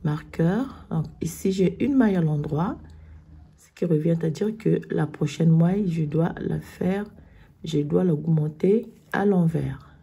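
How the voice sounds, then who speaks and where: Stuffed-up hoarse woman, slow knitting tutorial, dark gravelly voice. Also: sits low. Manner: slow, step-by-step instructions. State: hoarse with a cold.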